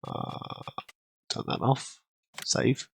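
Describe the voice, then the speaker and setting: A man hosting a gaming channel, congested from a cold and clearing his throat often, rambling casually through his gameplay. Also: very slow, no room sound. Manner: rambling, self-deprecating, frequent throat clearing.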